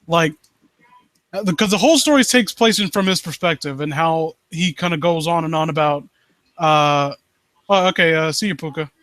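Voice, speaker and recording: A man hosting a live stream, reading and commenting on stories in a halting, rambling way and often rephrasing himself. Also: fairly high pitch, fairly bright tone, dry recording. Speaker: live stream host reading and critiquing amateur horror stories. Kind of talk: story read-aloud and casual commentary.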